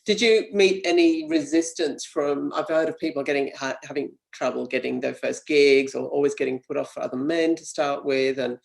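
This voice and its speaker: Gravelly woman interviewer. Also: sits low, normal speed, few pauses, a little mellow. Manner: hesitant, rephrasing questions. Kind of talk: interview questions.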